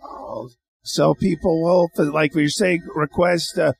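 A man hosting a radio interview, winding through his questions with false starts and trailing "I mean"s. His voice sits high for a man and has a heavy gravelly fry running through it. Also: fairly even intonation. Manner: halting, rambling questions with many restarts. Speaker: radio show host. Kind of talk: interviewing a guest.

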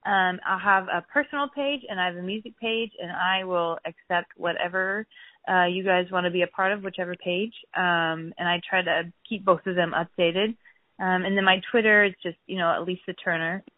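A hoarse woman chats easily as a podcast guest, laughing often between her points. Her voice comes through a narrow, phone-like line. The sound is dry and close. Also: fairly low pitch, moderate pace, no echo. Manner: giggly, says she is losing her voice. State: hoarse, clearing throat. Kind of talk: casual interview chat.

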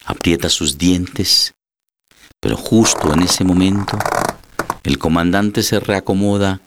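A man's voice: sits low, slow, background noise. A man reading scripted dramatic narration as the narrator of a story. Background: music.

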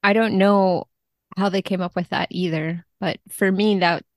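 A woman chatting casually and wryly about books as a podcast guest, quick to laugh. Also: medium pitch, steady tone, no room sound, studio quality. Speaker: guest, literary translator.

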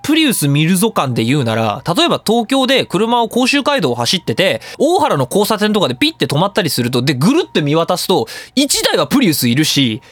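A man hosting a radio show in a casual solo monologue, ranting in an animated way with theatrical emphasis. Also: high voice, fairly quick, hardly any pauses, no room sound.

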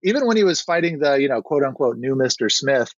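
A man co-hosting a casual movie review chat, animated and mocking, acting out scenes as he talks. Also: mid-range voice, quick pace, no echo, highs cut off.

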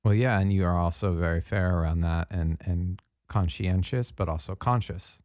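A man with a deep, relaxed voice hosts a reflective interview. He talks thoughtfully and affirms the other person as he goes. The sound is close and dry. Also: normal speed, dry recording. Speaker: interviewer, host.

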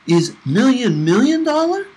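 A man acts out a playful little boy in a storybook, high-pitched and eager. The boy pesters with halting, clipped questions in broken English, his pitch jumping around. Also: very animated, a little mellow, husky, full character voice. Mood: eager, pestering.

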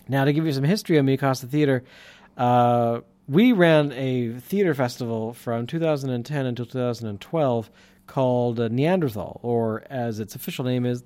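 A man's voice: mid-range voice, darkish tone, no room sound. A man hosting his own podcast with a light lisp, talking in a rambling, casual way with many fillers and restarts. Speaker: podcast host. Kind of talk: casual solo podcast monologue.

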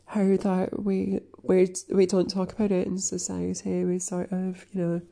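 A woman talks in a slow, rambling way, her voice a little sniffly after crying. Her tone is flat and mellow with a gravelly edge. She stops often to clear her throat and fills gaps with ums and likes. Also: fairly low pitch. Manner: halting, many fillers, keeps clearing throat. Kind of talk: casual rambling chat.

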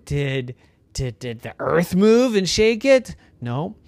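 A parent telling a bedtime story, voicing Hope, a young girl who loves basketball, in a squeaky, startled voice. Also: big pitch swings, slow, vocal fry, darkish tone, no echo, full character voice. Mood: bewildered.

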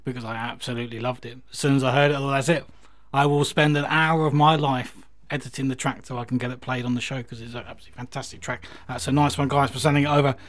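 A man's voice: mid-range voice, fairly even intonation, quick pace, a bit bright. A man hosting a radio music show, introducing tracks in a casual, chatty, enthusiastic and rambling way.

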